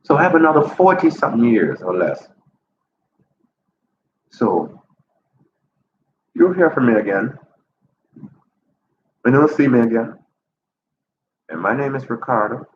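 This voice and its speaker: A man in his fifties talks to camera in his apartment, upbeat and chatty, his voice jumping high to make a point. His nose is a little stuffy from a cold. The sound is roomy and a bit dull, with a clear background hiss. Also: expressive, a little slow, long pauses. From Jamaica. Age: 50s. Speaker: vlogger. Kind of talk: casual vlog talk to camera. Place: apartment indoors. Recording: roomy lo-fi audio with ambient noise.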